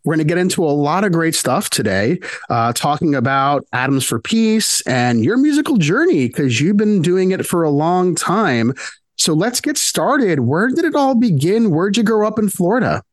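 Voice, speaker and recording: A man's voice: fairly high pitch, very animated, normal speed, no echo, clean studio sound. A man hosting an interview podcast, introducing and questioning in an upbeat conversational style. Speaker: podcast host.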